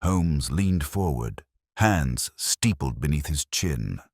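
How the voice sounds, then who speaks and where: Male audiobook narrator, extremely deep voice, animated intonation, dramatic mystery style, measured storytelling, dry sound. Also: breathy edge, no room sound.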